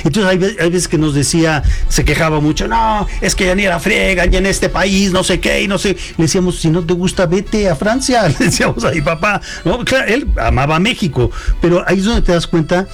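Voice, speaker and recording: A man's voice: high-pitched, a little fast, hardly any pauses, soft background music. A middle-aged Mexican man with a Mexican accent, answering an interviewer in a reflective, storytelling way with hesitant restarts. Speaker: guest. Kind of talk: conversational interview.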